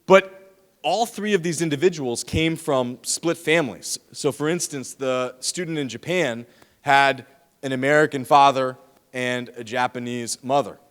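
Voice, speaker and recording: A man giving a lively, teasing lecture to students in a large hall, speaking into a handheld microphone. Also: mid-range voice, a little slow. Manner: lively, teasing, talks straight to the audience. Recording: long hall reverb.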